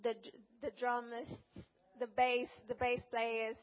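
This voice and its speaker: A woman's voice: fairly high pitch, monotone, slow. A woman interpreting a sermon phrase by phrase, often restarting and correcting herself. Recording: long reverb, dull sound.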